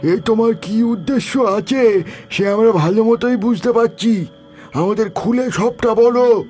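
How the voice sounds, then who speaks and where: An actor playing an excitable, accusing village man in a horror cartoon, exclamatory and dramatic. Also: high voice, a little slow. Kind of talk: cartoon voice acting. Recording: background music throughout.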